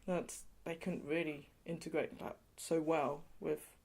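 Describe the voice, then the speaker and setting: A woman's voice: deep voice, little pitch movement, a little slow, a little mellow, slightly rough. A woman from England being interviewed, talking in a chatty way with lots of "you know" and light laughs. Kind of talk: oral history interview.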